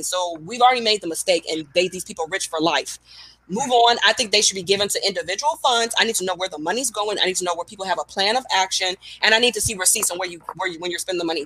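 A woman co-hosting a podcast, chatting casually and telling stories with animated, self-mocking humor. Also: mid-range voice, breathy edge, no room sound, rough recording. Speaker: podcast co-host. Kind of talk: casual chat.

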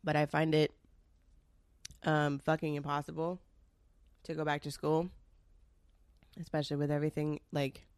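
A young woman with a lowish voice talks straight to her listeners in a loose, rambling podcast chat, wandering into asides and dropping the odd swear word. She sounds slightly nasal and stuffed up from a cold. The sound is close and dry. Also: little pitch movement, moderate pace, pauses often, darkish tone, smooth, dry recording. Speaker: podcast host. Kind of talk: casual solo podcast chat.

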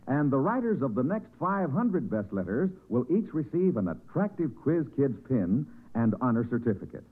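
A male announcer delivers scripted copy in the manner of 1940s radio. His pitch is mid-range but moves in wide swings, formal and declamatory. The recording is thin, with a phone-like narrow band. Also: big pitch swings, normal speed, husky.

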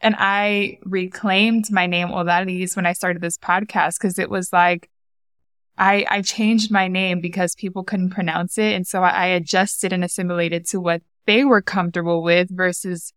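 A young woman hosting a podcast, chatting casually and often reacting with soft exclamations. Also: lowish voice, flat delivery, moderate pace, clean tone, no room sound, clean studio sound. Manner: warm, reacts often with "wow". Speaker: podcast host. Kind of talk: casual conversation.

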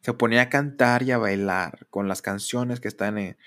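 Mexican guy in his 30s, lowish voice, rambly and frank, solo podcast. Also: moderate pace, a bit bright. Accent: Mexican Spanish. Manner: candid, rambling, mocks others in exaggerated high voices. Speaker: podcast host.

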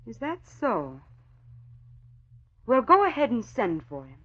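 Spirited, defiant young daughter. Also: female voice, a little high, huge pitch swings, slow, a bit bright, full character voice. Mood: indignant, defiant. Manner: indignant, emphatic. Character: defiant, proud young society daughter in love. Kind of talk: vintage radio drama acting. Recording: muffled old radio recording.

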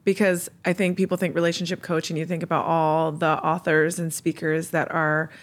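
A woman's voice: deep voice, flat delivery, a little fast. A woman hosting a podcast interview, talking in an enthusiastic, chatty way and laughing often. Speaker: podcast host.

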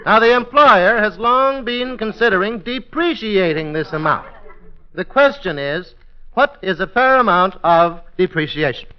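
An actor playing a pompous, bumbling judge with formal, wordy, hesitant phrasing, in 1940s radio comedy style. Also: male voice, sits high, animated, unhurried, clean tone. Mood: deliberate, puzzled. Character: pompous, bumbling male judge of a comic court. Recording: muffled old radio recording.

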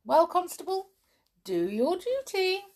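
A woman's voice: very high voice, big pitch swings, slow pace, darkish tone, pitched above the performer's own voice, full character voice. A woman voicing an amiable, smugly triumphant middle-aged uncle, courteous and drily gloating, in a storybook reading. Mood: commanding.

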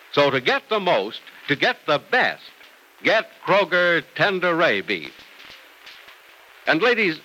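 Bright, emphatic American male radio announcer. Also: fairly high pitch, poor audio. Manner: polished, emphatic, salesmanlike. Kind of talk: old-time radio announcing and sponsor pitch. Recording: old radio transcription recording.

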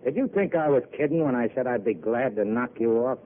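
A man's voice: mid-range voice, a little fast, close to an everyday voice. An actor playing a hardened gangster who talks in clipped tough-guy slang, in old radio drama. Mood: menacing. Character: hardened male gangster, terse and menacing. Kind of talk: 1930s radio drama acting. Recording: tinny old broadcast with hiss.

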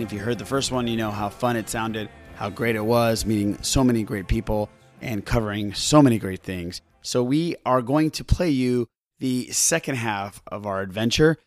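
A man hosting a podcast, talking casually as he introduces and wraps up segments. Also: fairly low pitch. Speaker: podcast host.

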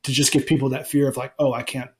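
Forties guy from alabama, rambly podcast answers, lots of ums, mid pitch. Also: little pitch movement, fast talker. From Alabama, United States. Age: 40s. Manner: rambling, many ums and restarts, laughs easily. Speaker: podcast host being interviewed. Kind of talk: casual interview chat.

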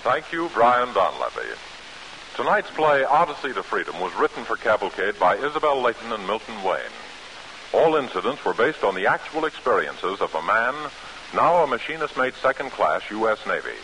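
A male radio announcer with a fairly low, very animated voice. He delivers formal scripted lines in 1940s style, over faint hiss. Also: moderate pace, rough recording. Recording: faintly hissy old radio broadcast.

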